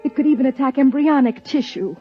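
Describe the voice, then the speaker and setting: A troubled woman scientist in a vintage radio play, precise and analytical. Her husky voice sits in a muffled fifties recording with faint music. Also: high voice, brisk. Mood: numb, reflective. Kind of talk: 1950s radio drama narration and acting. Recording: dull, lo-fi 1950s radio recording.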